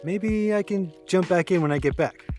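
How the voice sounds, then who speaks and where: A man's voice: high voice, moderate pace, over music, lightly acted. A young man acting as a practical, easygoing housemate in a naturalistic audio drama.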